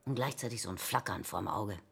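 An actress playing a hesitant, matter-of-fact woman in her sixties with a husky voice in a radio play. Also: a bass voice, fairly quick, heavily acted. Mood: hesitant, worried. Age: 60s. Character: hesitant, matter-of-fact sixty-four-year-old woman patient. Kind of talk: naturalistic radio play acting.

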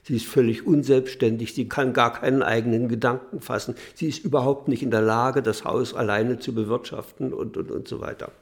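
An older man giving an unscripted recollection of his parents, halting as he searches for words. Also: medium pitch, fairly even intonation. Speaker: eyewitness recalling his parents.

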